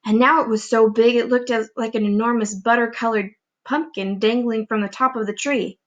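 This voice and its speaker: A woman reading a children's storybook aloud as a teacher would, with expressive, deliberate narration. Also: fairly high pitch, muffled recording.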